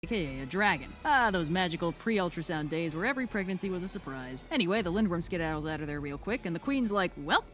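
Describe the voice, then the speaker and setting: A woman's voice: fairly low pitch, expressive, fast, hardly any pauses, a bit bright, faint music behind. A woman delivering scripted comic storytelling narration in a breezy, sardonic manner.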